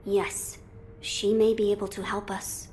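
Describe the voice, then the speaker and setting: An actress voices an earnest boy lord in a video game. The delivery is composed and courtly, with quiet resolve. Faint noise sits behind the voice. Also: mid-range voice, flat delivery, unhurried, lightly acted. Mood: thoughtful, resolute. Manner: formal, thoughtful, composed. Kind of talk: video game cutscene acting.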